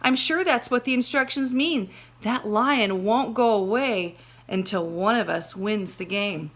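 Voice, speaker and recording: A woman reading a storybook aloud, voicing an eager, bossy young girl in an expressive, urging way. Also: medium pitch, unhurried. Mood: earnest. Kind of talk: children's storybook read-aloud.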